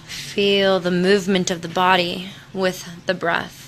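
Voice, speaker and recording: A woman with a slightly low voice guides a body-scan meditation. She speaks very slowly and soothingly, leaving space between phrases. There is faint noise behind her. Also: flat delivery, poor audio. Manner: soothing, unhurried, with long pauses.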